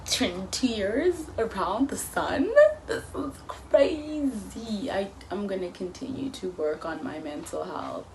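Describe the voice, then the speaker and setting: A woman's voice: medium pitch, expressive, slow pace, darkish tone, slight background noise, low-quality recording. A woman in her early twenties talking through her thoughts in a personal vlog, earnest and musing, often dropping to a near-whisper. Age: 20s. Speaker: vlogger.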